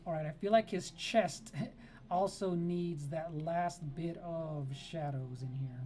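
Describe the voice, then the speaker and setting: Chatty woman streamer with mellow voice. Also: sits low, takes it slowly, slight background noise. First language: Spanish. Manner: chatty, greets viewers by name, laughs often. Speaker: art streamer. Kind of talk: casual live-stream chat while drawing.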